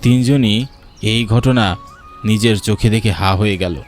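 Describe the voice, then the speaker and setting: A man's voice: lowish voice, unhurried. A man narrating a cartoon folk story as a scripted storyteller. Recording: music behind the narration.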